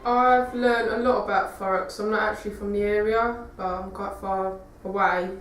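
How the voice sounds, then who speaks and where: A woman's voice: mid-range voice, steady tone, normal speed. A nineteen-year-old woman, a youth work apprentice, answering interview questions casually with a lot of filler words. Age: young adult. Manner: casual, lots of "like". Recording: roomy, mic far off, faint music.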